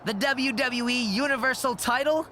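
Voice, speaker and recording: A man's voice: very high voice, moderate pace, sharp tone, slight background noise. A man voicing a snide, easily flustered young wrestling show producer in a video game cutscene, smug and snippy.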